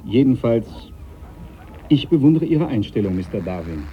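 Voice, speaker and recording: A man's voice: mid-range voice, sing-song, normal speed, natural voice. An actor playing a proud, devout, domineering sea captain in a German radio play, speaking formally and needling those he addresses. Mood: probing, assured. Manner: formal, commanding, needling, cuts others off. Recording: dull, noisy 1980s radio recording.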